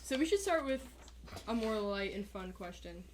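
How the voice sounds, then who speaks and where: A woman's voice: mid-range voice, expressive, normal speed, noisy background. An eighteen-year-old woman hosting a podcast in casual chat, chatty and giggly with lots of fillers. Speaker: podcast host.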